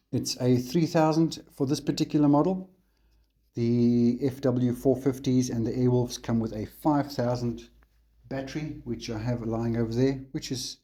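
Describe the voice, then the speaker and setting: A South African man talks through a tutorial on camera at home, unhurried and relaxed, pausing often on ums and uhs. His voice is dark and mellow at a middling pitch. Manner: relaxed, unhurried, frequent fillers. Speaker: RC hobby channel host. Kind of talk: casual tutorial walkthrough on camera.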